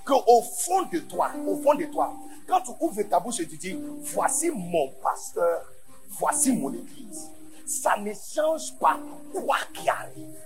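A man from Ghana with English as his first language, preaching a sermon in French to a large conference, going from quiet asides to shouted emphasis. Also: high voice, sing-song, slow, music in the background. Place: large church conference hall.

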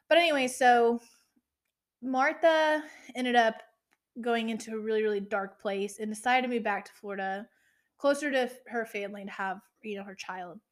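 A woman in her twenties co-hosting a podcast in casual true-crime chat, chatty and swearing, with ranting asides. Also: on the high side. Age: 20s. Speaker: podcast co-host.

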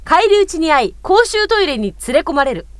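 A woman's voice: very high voice, sing-song, a little fast, no echo. A woman co-hosting a casual chat, sometimes reading aloud and commenting as she goes. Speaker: podcast co-host.

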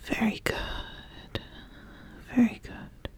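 A woman voicing an ambitious, appraising witch in a breathy whisper for a fantasy ASMR roleplay, deliberate and given to repeating phrases. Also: darkish tone, lightly acted. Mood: intrigued, approving. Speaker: ASMR host.

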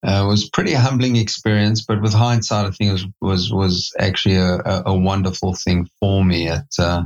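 A middle-aged man from South Africa with a South African accent, a company CEO telling his story as a podcast guest, reflective and halting with many restarts. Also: sits low, fairly even intonation, dull audio. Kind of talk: podcast interview.

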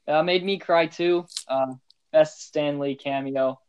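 A man's voice: fairly high pitch, fairly even intonation, slow, highs cut off, slight background noise. Laid-back man co-hosting a podcast. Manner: laid-back, brief replies. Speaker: podcast co-host. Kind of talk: casual chat.

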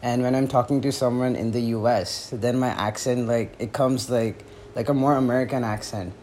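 A man's voice: medium pitch, steady tone, moderate pace, a little mellow. A young man chatting as a guest on a podcast, stumbling into his sentences with "like" and restarts. A faint hiss sits under the voice. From born in the US, raised in India. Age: young adult. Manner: hesitant, restarting speech with "like" fillers. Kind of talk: casual podcast chat.

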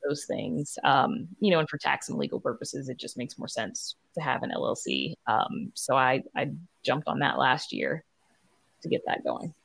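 A woman with a low-pitched voice speaks as a guest in a casual interview. She tells her story as a podcast creator in a conversational, informal way. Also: sing-song, moderate pace, gravelly, breathy edge.

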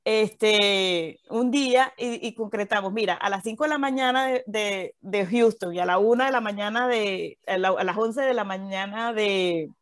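A woman's voice: mid-range voice, normal speed, few pauses, dry recording, studio quality. A Venezuelan woman in her thirties co-hosting a podcast, chatting casually with many fillers and restarts. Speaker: podcast co-host.

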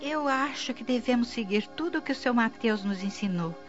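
A woman's voice: fairly high pitch, lively intonation, normal speed, faint music behind, lightly acted. A woman acting in a radio drama as a devout, consoling family member, earnest and composed. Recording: dull lo-fi audio.

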